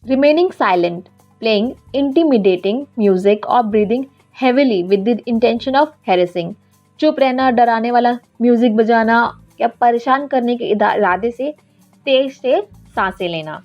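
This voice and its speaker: A woman with an Indian accent giving an explanatory legal lesson in a deliberate, instructional manner, switching between two languages. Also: fairly high pitch, expressive. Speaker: legal educator, advocate. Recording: dull lo-fi audio with background music. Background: background music.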